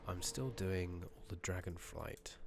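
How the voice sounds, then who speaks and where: A man speaking softly and often whispering close to the microphone, giving gentle ASMR commentary on a game he is playing. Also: low-pitched, unhurried, creaky. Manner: hushed, gentle, drifting between murmur and whisper. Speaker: ASMR gaming streamer. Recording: steady background noise, keyboard clicks.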